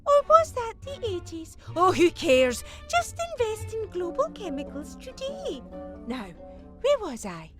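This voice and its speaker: A woman's voice: very high-pitched, wildly swooping pitch, a little slow, over loud music, full character voice. A woman playing a gleefully unhinged, vengeful Time Lord villainess, theatrical and flippant with turns of menace, in a scripted fan audio drama monologue. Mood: playful, condescending.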